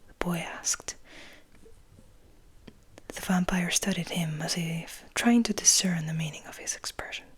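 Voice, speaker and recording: A woman reading a story aloud as soft ASMR, in a breathy, mostly unvoiced whisper with gentle, unhurried delivery. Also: on the low side. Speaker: ASMR host and reader.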